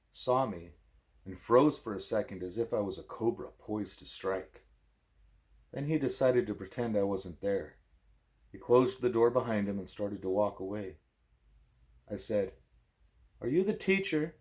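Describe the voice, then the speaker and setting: A man, a parent, reading a book aloud expressively as a bedtime story, with a wry, deadpan manner. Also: on the low side.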